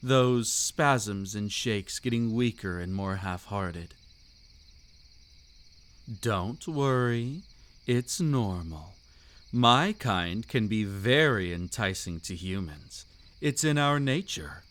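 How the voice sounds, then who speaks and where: Man playing a slow, seductive vampire count. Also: lowish voice, expressive, fairly bright tone. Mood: smug, enticing. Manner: velvety, taunting, theatrically aristocratic, drawn-out delivery. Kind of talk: scripted romantic roleplay audio, intimate monologue.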